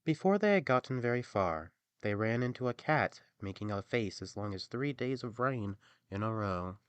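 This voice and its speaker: A man narrating a fairy tale as an expressive storyteller. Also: lowish voice, moderate pace, smooth, no room sound.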